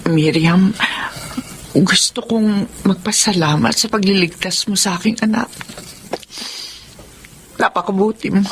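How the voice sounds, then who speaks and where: A woman's voice: medium pitch, a little hiss or hum, close to an everyday voice. A woman playing a contrite mother and employer in a Filipino radio drama. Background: music under the voice.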